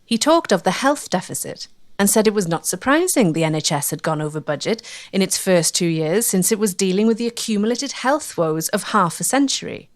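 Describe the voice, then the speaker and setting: A woman's voice: mid-range voice, expressive, brisk. A woman narrating a history documentary from a script.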